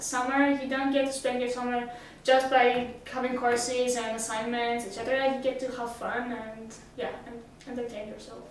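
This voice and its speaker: High-voiced teenage girl, echoey room. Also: little pitch movement, moderate pace, hardly any pauses, a little hiss or hum. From Greece. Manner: hesitant, many fillers and restarts. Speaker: summer school student. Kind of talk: casual interview answers. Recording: roomy echo, mic at a distance.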